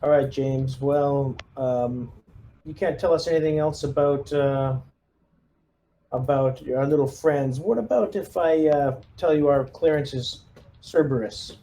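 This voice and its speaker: Tentative mellow-voiced male gamer. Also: medium pitch, unhurried. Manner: tentative, thinks out loud. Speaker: tabletop game player. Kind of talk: casual, hesitant in-game questioning. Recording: faint background noise, ambience.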